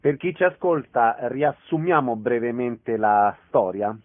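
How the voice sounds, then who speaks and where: A man's voice: medium pitch, animated, a little slow, phone-quality sound. Male radio interviewer, band-limited sound.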